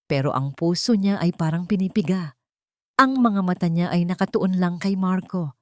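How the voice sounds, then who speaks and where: A woman's voice: fairly low pitch, moderate pace, dry recording, clean studio sound. A woman narrator reading a scripted romantic drama in a dramatic storytelling style.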